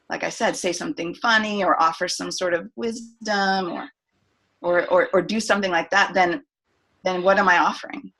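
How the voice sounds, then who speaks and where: A woman's voice: fairly low pitch, bright, forceful tone. A woman, a singer and voice coach, talking as a podcast guest and telling a reflective personal story with many restarts and fillers. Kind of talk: casual podcast interview.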